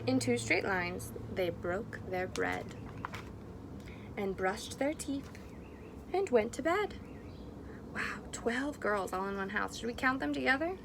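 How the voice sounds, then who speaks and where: A woman reads a picture book to young children as their teacher, at a very unhurried pace and in a slightly high voice. She is expressive and encouraging, and clear noise runs behind her. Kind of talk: interactive picture-book read-aloud. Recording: clearly noisy background.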